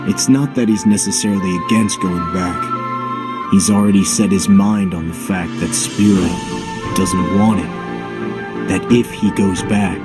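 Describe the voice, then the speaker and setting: Low, level-headed game companion. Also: male voice, fairly even intonation, measured pace, over loud music. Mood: calm, frank. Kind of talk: dramatic video game cutscene acting.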